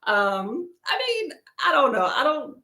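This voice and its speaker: A woman from Texas in her thirties hosts a casual reading livestream, chatting with her viewers and laughing often. Her light Southern accent colors certain words, and her pitch rises and falls a lot. The room around her sounds echoey. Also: sing-song, a little slow, pushed and bright. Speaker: booktube livestream host. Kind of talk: casual live chat.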